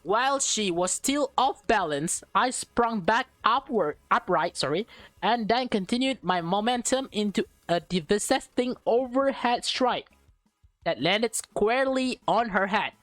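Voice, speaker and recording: A woman's voice: medium pitch, expressive, unhurried, no echo, soft background music. A woman reading a visual novel aloud as a let's player, stumbling over and repeating words, with slangy, casual reactions.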